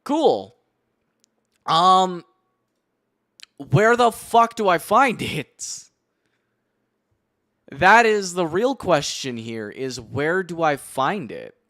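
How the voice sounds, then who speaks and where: A young male streamer with a slightly high voice talks slowly and casually. He swears freely and mutters to himself as he works through a fiddly task. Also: expressive, a bit bright. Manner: profane, muttering to himself while working. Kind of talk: casual live-stream commentary.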